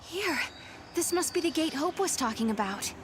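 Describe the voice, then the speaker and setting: An actress playing an earnest, hopeful young heroine in a video game, with a gentle manner. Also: female voice, sits high, lively intonation, normal speed, noisy background. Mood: gentle, curious. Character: earnest, hopeful young woman searching for her sister. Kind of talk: video game English dub acting. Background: music.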